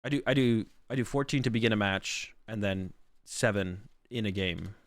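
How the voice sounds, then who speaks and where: Sick guy streaming, voice going hoarse, lots of um and like, dry close sound. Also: fairly low pitch, moderate pace, dry recording. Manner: rambling, filler-heavy, thinks aloud. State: sick, losing his voice. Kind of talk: casual stream commentary.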